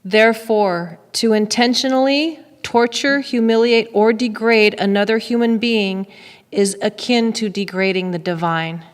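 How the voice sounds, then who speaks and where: A woman's voice: medium pitch, a little slow, clean tone. A middle-aged woman giving a scripted public reading in a readers theater. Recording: echoey hall reverb.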